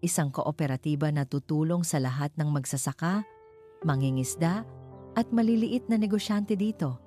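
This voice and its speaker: Mellow, resolute heiress voiced by a woman storyteller. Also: deep voice, normal speed, lightly acted. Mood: earnest, resolute. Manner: clear, steady, earnest declarations. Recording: music under the voice.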